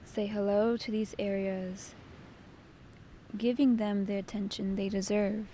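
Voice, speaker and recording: A woman leading a guided meditation with gentle, soothing, unhurried instruction. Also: mid-range voice, little pitch movement, a little hiss or hum.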